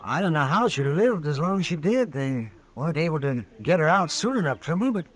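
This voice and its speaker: A gruff, kindly frontier doctor in vintage radio drama. His American voice is raised in pitch and swings widely as he talks in plain, halting phrases. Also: very animated. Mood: somber, consoling. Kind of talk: old-time radio western acting.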